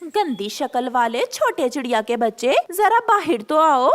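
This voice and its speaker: A woman's voice: high voice, big pitch swings, measured pace, a bit bright, exaggerated voice. A woman voicing a haughty, quarrelsome mother crow in a children's cartoon, scolding and boastful. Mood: angry, scolding. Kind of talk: animated Hindi children's story acting.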